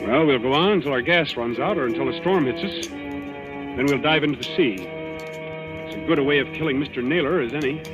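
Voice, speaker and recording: An actor playing a cool-headed young commander in a 1940s radio drama, with clipped, urgent authority. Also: male voice, a little high, fairly quick, natural voice, rough recording. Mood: grim, tense. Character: young naval-style commander, cool-headed hero in peril. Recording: old radio recording with music behind.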